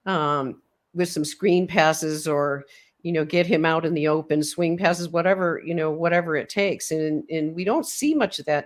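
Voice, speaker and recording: A woman hosting a casual sports chat, talking through the game in a measured, analytical way. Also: sits low. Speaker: host.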